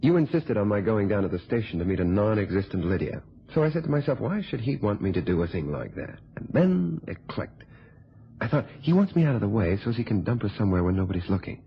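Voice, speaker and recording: An actor playing a cool, calculating blackmailing reporter in a radio thriller, with a husky voice and a smug, sardonic way of telling things. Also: male voice, on the low side, sing-song, exaggerated voice. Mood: callous, amused. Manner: smug, sardonic, unhurried storytelling. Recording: dull, lo-fi old radio recording.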